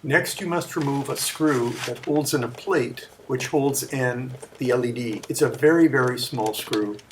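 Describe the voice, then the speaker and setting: A man calmly explaining a repair step by step, with a noisy workbench recording. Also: mid-range voice, unhurried. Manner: calm, step-by-step instructive. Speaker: repair video host. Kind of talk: narrated repair tutorial.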